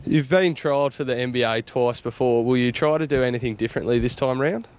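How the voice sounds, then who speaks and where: A man's voice: mid-range voice, brisk. Australian man reporting sports news. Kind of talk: scripted sports news report with casual interview questions.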